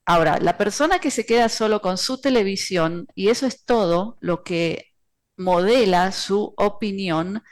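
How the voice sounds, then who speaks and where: A woman from Argentina, a financial analyst speaking as an interview guest in a measured, explanatory way with many hesitations. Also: medium pitch. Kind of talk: interview conversation.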